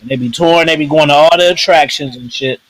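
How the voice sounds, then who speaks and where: A man co-hosting a casual wrestling chat, rambling and halting in a laid-back way. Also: fairly high pitch, a bit bright, poor audio. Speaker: podcast co-host.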